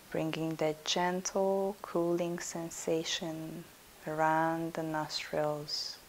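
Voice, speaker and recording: A woman leading a yoga nidra guided meditation as instructor, soothing and unhurried, leaving pauses between her instructions. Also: low-pitched, darkish tone, slight background noise, poor audio.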